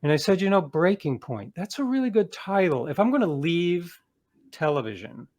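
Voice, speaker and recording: A middle-aged man speaking as an interview guest, an author telling his story reflectively and conversationally, leaning on key words and trailing off softer. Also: high voice, very animated, moderate pace. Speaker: guest, author and podcast producer.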